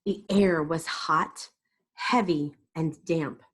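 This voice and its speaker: A woman reading a story aloud to children in an expressive way, with deliberate, dramatic emphasis. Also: sits low, breathy edge. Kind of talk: expressive read-aloud narration.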